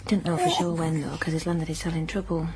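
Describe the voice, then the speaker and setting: A woman's voice: low-pitched, fairly even intonation, fast, very noisy. A woman acting in a radio drama as a desperate, plaintive young unwed mother with a Northern English accent. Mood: wistful hope. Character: poorhouse ghost, desperate young unwed mother, defiant then despairing.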